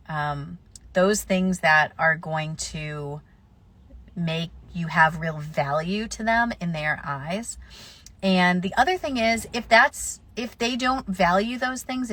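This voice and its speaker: A woman with a slightly lower voice, stuffy from a mild cold, answering viewers' questions on a live stream. She gives practical advice in an easygoing, conversational way, often pausing on fillers. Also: a bit bright, dry recording.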